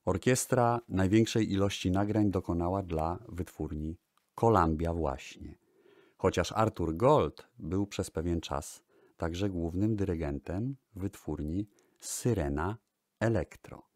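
A man hosting a radio-style music history talk in a measured storytelling manner. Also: low-pitched, darkish tone, no echo, studio quality. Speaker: host.

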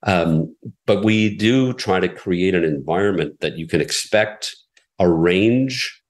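A middle-aged man, a radio station executive, giving measured, explanatory interview answers with frequent restarts. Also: deep voice, lively intonation. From United States. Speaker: radio station president, guest.

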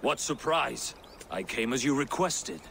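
Blunt, forceful Danish warrior. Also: male voice, mid-range voice, expressive, unhurried, gravelly, a little hiss or hum. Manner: curt, blunt. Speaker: actor in a video game cutscene. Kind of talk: video game drama acting.

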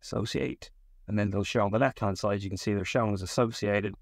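A man with a fairly low voice leads a technical tutorial. He explains each step of a software setup in an instructional way. The sound is dry, with very little room in it. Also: steady tone, fairly quick, gravelly, no room sound. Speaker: tech support manager giving a tutorial.

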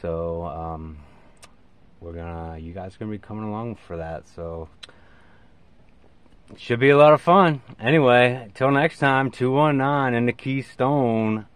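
An American man in his forties explaining things in a casual, informal vlog style, with emphatic, halting phrasing. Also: mid-range voice, clean tone, no room sound. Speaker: radio hobbyist video host. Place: inside a parked SUV.

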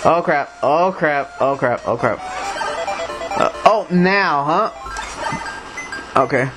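A man with a Mexican accent narrates his gameplay in a fairly high voice, muttering complaints and repeating little phrases as he plays. Retro game music plays clearly behind him. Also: expressive, very slow. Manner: grumbling, talks to the game characters, hums and repeats himself. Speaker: let's play commentator. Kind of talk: casual gameplay commentary.

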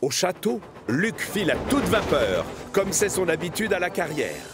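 A man narrating a children's cartoon story in an expressive storytelling manner. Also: high voice, moderate pace, over loud music.

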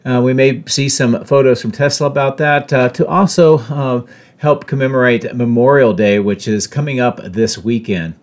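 A man in his fifties giving a measured, matter-of-fact explanatory narration as a drone vlogger. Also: medium pitch. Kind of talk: explanatory site-update narration.

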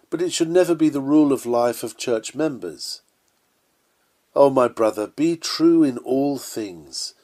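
A man's voice: mid-range voice, a little mellow. Male sermon reader, mid-range voice, earnest oratorical delivery of old-fashioned scripted text. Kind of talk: reading an old sermon aloud.

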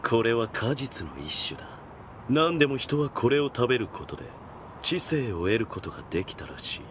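A man playing a cool, stern, intellectual elder brother, a humanlike machine in a game, speaking in a measured, didactic way. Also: medium pitch, sing-song, fairly bright tone, a little hiss or hum. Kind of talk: Japanese game voice acting.

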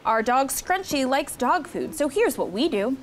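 A young woman hosts a children's story time in a high voice full of swoops and lifts. She reads the picture book expressively and talks to the kids in an encouraging way. A low rumble of noise sits behind her voice. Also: big pitch swings, a little slow. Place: studio set with seated children.

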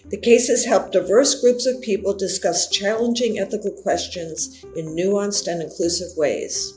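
A woman's voice: medium pitch, unhurried, darkish tone. Roomy-sounding woman reading over music. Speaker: podcast co-host. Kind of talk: scripted introduction, read out. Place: living room at home. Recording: roomy home video call.

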